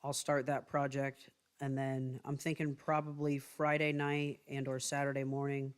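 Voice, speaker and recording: A man with a light, higher voice that callers sometimes take for a woman's, rambling through casual stories. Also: normal speed, clean tone. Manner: rambling, relaxed storytelling. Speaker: stream co-host. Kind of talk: casual chat.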